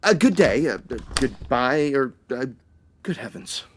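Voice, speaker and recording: Courtly, discreet gent, played by a man, formal and old-fashioned, easily rattled, lively intonation, audio comedy. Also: medium pitch, a little slow, a little hiss or hum, lightly acted. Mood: flustered. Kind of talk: comedic audio drama acting.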